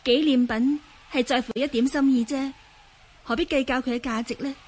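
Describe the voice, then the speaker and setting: A woman's voice: high-pitched, fairly even intonation, moderate pace, a little mellow. A woman acting a polite, spirited young swordswoman in a Cantonese radio drama, with courteous theatrical delivery. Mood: polite farewell.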